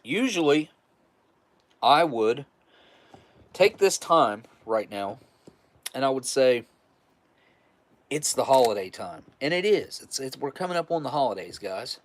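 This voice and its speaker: A man's voice: medium pitch, sing-song, measured pace, long pauses. A man in his forties hosting a live stream, chatting casually with viewers in a rambling, chuckling, easygoing way. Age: 40s. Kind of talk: casual live chat reading viewer messages.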